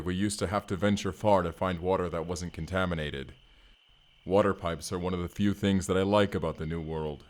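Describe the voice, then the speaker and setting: Man acting a very deep, gruff barbarian operative. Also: close to an everyday voice. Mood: content, simple. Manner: stilted, literal, plain short sentences without contractions. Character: gruff, earnest wasteland barbarian turned corporate combat operative. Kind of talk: immersive first-person audio roleplay acting.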